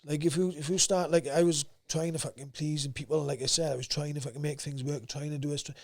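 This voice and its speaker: Gruff, sweary Welsh valleys man. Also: on the high side, steady tone, fast. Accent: South Wales valleys. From Wales. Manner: blunt, sweary, straight-talking. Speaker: podcast guest. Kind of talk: casual interview chat.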